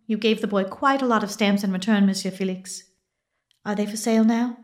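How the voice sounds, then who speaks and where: An actress playing an anxious, earnest young widow on the run in a radio drama. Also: female voice, mid-range voice, fairly even intonation, a little fast, lightly acted. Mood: hesitant.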